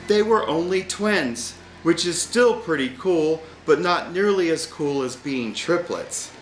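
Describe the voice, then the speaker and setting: A man narrates a storybook in a wry, deliberate way. His voice sits a little high and moves slowly. The room sounds echoey, and music plays behind him. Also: animated. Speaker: audiobook reader.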